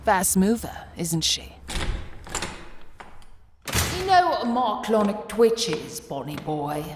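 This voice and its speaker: A woman's voice: medium pitch, sing-song, slow pace, background noise. A woman voicing an eccentric, menacing, ancient street crone in a comic dub, rambling and cajoling with old-fashioned endearments. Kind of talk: comic dub character acting.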